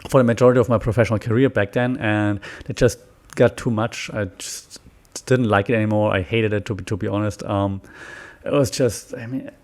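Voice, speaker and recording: A man's voice: fairly low pitch, fairly even intonation, fairly quick, a little mellow. German-accented man chatting on a podcast. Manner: rambling, frequent fillers and restarts, laughs often. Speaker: podcast host. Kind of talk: casual solo podcast talk.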